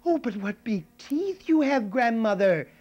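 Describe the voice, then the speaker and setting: Man playing a high, sing-song storybook girl, polite and cheerful. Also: sing-song, a little slow, muffled recording, exaggerated voice. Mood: wondering. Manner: bright, sing-song, wide-eyed.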